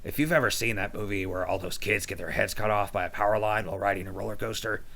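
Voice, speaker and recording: An actor playing a wry, crude post-apocalyptic radio DJ, delivering comedic patter and mock sponsor spots. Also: male voice, fairly low pitch, fast, vocal fry, pushed and bright. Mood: wry, casual. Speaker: radio host.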